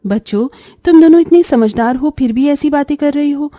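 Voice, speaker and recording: A woman's voice: sits high, moderate pace, dark tone, dry recording, close to an everyday voice. A woman playing a poor, self-sacrificing young mother in a cartoon story, earnest and plaintive. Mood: reproachful. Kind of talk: Hindi cartoon story voice acting.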